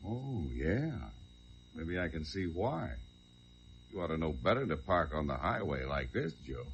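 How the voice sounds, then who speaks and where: An actor playing a gruff, grumbling highway cop in an old-time radio drama, brusque, slangy and sardonic. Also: male voice, sing-song, unhurried, heavily acted. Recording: dull old radio recording.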